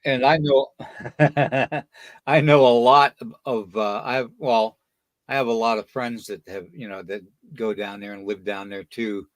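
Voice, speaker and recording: A man's voice: mid-range voice, steady tone, a little slow, dry recording. A man hosting a casual live chat show, talking in a friendly, rambling way and repeating his phrases. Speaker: podcast host. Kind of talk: casual live chat, greeting and asking listeners.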